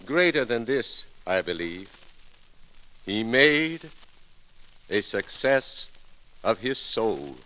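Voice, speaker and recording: Slow, low-voiced man in solemn tribute. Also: sing-song, pushed and bright. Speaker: eulogist. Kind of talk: 1930s radio memorial tribute. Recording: crackly 1930s radio broadcast recording.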